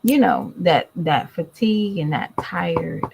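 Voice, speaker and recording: A woman's voice: fairly low pitch, takes it slowly, creaky, darkish tone, slightly rough. A tired, congested woman chatting casually to her viewers in a vlog. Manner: chatty, addresses viewers directly. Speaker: vlogger. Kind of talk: casual chat to camera.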